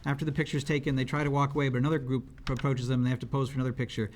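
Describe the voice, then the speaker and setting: A man's voice: mid-range voice, little pitch movement, racing, darkish tone, slight background noise. A man reading stage directions aloud at a script table read, as scripted narration.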